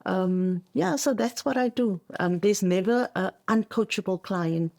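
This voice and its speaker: A woman's voice: mid-range voice, measured pace, creaky, darkish tone. A middle-aged South African woman whose first language is Afrikaans, talking conversationally as a coach being interviewed on a podcast, making emphatic points and checking in as she goes.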